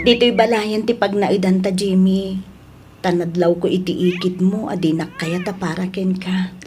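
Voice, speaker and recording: A woman playing an emotional, stubborn elderly mother and grandmother in an Ilocano audio drama, with a Filipino accent and drawn-out, emphatic delivery. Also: medium pitch, steady tone, over music, lightly acted. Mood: firm. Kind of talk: melodramatic radio drama acting.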